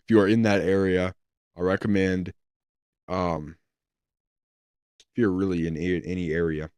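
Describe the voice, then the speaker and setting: A man hosting a live weather stream, answering viewer questions casually and hesitantly, his voice going hoarse. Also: low-pitched, little pitch movement, normal speed, darkish tone, no echo. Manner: hesitant, with many ums and restarts. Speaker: livestream weather host.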